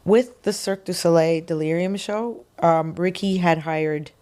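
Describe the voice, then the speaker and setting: Singer guest, woman from Quebec, low voice, lively storyteller who acts out what people said. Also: measured pace. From Quebec, Canada. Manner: animated storytelling, acts out quotes with laughs. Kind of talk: casual interview chat.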